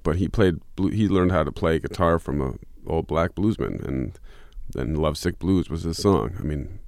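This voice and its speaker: Man, very low voice, smooth, rambling, many restarts, dry close-miked recording. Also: a little mellow, dry recording. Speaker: musician guest. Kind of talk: relaxed interview answers.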